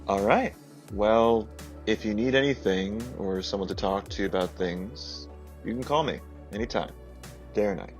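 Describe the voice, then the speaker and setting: Man playing a lower-voiced easygoing young friend. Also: moderate pace, faint music behind, natural voice. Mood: kind, concerned. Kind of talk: fan audio drama acting.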